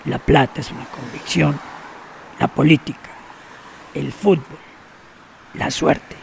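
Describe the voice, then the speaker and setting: An actor playing a secretive, cynical older man in a radio drama, who talks slowly and knowingly and drops to near whispers. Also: a little high, vocal fry, a little hiss or hum, exaggerated voice. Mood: sly.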